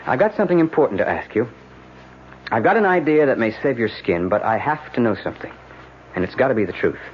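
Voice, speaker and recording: A man's voice: mid-range voice, big pitch swings, quick pace, lightly acted. Intense American radio-drama lawyer, played by a man. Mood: urgent, stern. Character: tired, blunt male defense lawyer, intense and pressing. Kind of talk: old-time radio drama acting. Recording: dull, noisy vintage radio recording.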